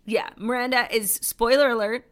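A woman's voice: fairly high pitch, steady tone, moderate pace. A woman co-hosting a podcast in casual chat, blunt and teasing, swearing freely. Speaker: podcast co-host.

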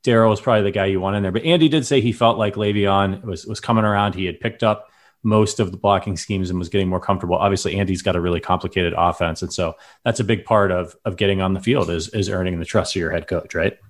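A man's voice: deep voice, steady tone, quick pace. A man hosting a sports podcast in a casual, conversational way, steering the talk and reading listener mail. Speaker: podcast host. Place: lives in Chicago.

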